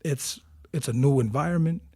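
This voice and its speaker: Man from tonga, forties, husky voice, dry close sound, lively storyteller. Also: on the high side, moderate pace, no echo. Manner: animated storyteller, acts out quotes. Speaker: guest. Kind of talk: casual storytelling interview.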